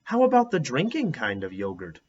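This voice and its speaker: A man's voice: mid-range voice, wildly swooping pitch, fairly quick, a little mellow, husky. A man voicing an outspoken, inquisitive young schoolgirl, eager and questioning, in a storybook reading. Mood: objecting. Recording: dull, lo-fi sound.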